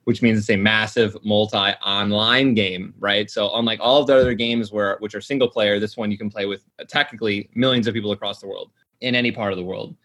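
A man's voice: fairly low pitch, steady tone, a little fast, hardly any pauses, a bit bright. A man in his late twenties chatting casually, rambling with lots of fillers and restarts. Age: 20s. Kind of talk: casual chat.

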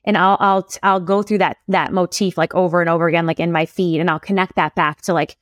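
Woman, podcast guest, copywriting coach, low voice, chatty with many fillers, dry close recording. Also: fairly even intonation, quick pace, no room sound. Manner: chatty, lots of "like" and "you know" fillers. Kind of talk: casual podcast conversation.

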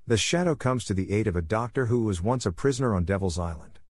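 A man's voice: on the low side, darkish tone, dry recording, clean studio sound. A man hosting a podcast, reading a scripted show introduction in a welcoming presenter's manner. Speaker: podcast host.

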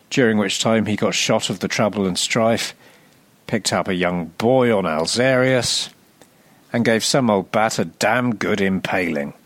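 A man reading a scripted, humorous narration as a podcast presenter, with a wry, deadpan, sardonic delivery. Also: lowish voice, no echo.

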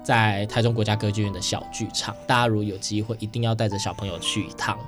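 A man's voice: on the low side, level tone, fast talker, music in the background. A man with a Taiwanese accent hosting a casual interview, chatty and often checking for agreement. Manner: chatty, often seeks agreement with tag questions. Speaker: radio show host.

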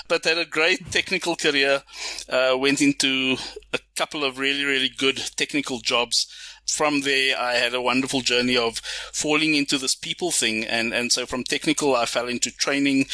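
A middle-aged South African man with a South African accent, a podcast guest telling his life story in a measured, reflective way. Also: mid-range voice, pushed and bright, dry recording, rough recording. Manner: measured and reflective, frequent restarts. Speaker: guest, business school coach. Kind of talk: casual podcast chat.

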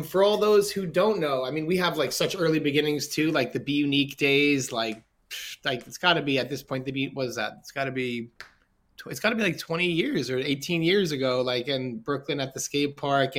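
A man with a fairly high voice hosts a casual interview podcast. He speaks in a chatty, conversational style, circling around his questions in a rambling way. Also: fairly bright tone. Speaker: podcast host.

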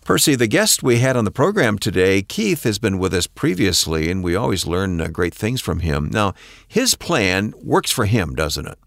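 A man co-hosting the show, reading an announcement with smooth announcer delivery. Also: lowish voice, sing-song, moderate pace, a bit bright, no echo, studio quality. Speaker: co-host.

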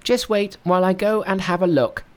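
A man's voice: fairly quick, lightly acted. A man voicing a brash, boastful, hot-tempered monkey king in a storybook reading. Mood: brisk. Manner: shrill, excitable, boastful.

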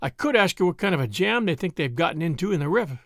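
Man reading as a terse, creaky, grumbling novel hero. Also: fairly high pitch, lively intonation, quick pace, dry recording, clean studio sound. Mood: dry, weary. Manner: terse, unimpressed. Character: laconic, dry, grumbling male writer in a novel. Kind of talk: audiobook character dialogue.

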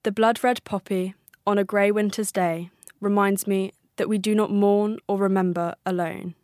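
A woman's voice: medium pitch, no room sound. Young woman reciting poetry, dry recording. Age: young adult. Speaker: poet reading own poem.

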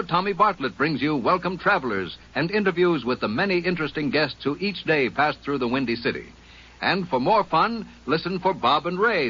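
A man's voice: on the high side, breathy edge. A male network announcer reading upbeat promos in 1950s radio style. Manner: bright and upbeat, emphatic. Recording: muffled old radio broadcast.

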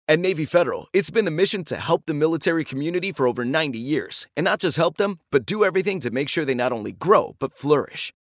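Sponsor read: a man, slightly high, fast and earnest, dry close mic. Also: no echo. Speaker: ad reader. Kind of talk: scripted ad read.

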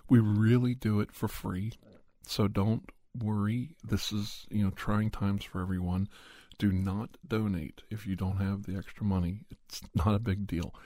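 A man who makes ASMR videos, talking casually to camera from home into a condenser studio mic, his voice worn down by a cold and his talk unhurried and meandering. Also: on the low side, little pitch movement, no echo. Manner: unhurried and meandering, soft at times. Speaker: ASMR channel creator and podcaster. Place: at home, indoors. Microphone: large condenser studio mic.

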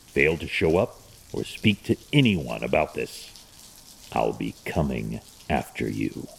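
A man playing a stern, brooding masked vigilante in an audio drama, speaking gravely in clipped, commanding phrases with a gravelly voice. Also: a bass voice, big pitch swings, a little slow, slight background noise, full character voice. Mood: menacing. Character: brooding, stern adult male masked vigilante and wealthy guardian. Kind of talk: fan audio drama acting. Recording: long hall-like reverb.

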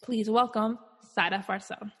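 A woman's voice: medium pitch, fairly even intonation, moderate pace, husky. A woman podcast host reading a guest introduction and interviewing, mixing in Spanish words. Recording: long reverb.

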